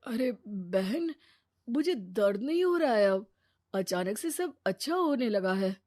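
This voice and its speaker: A woman voicing an ailing brother in a cartoon family story, with a plaintive, drawn-out way of talking. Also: fairly high pitch, animated, a little mellow, no echo, clean studio sound. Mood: surprised. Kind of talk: Hindi cartoon storytelling dub.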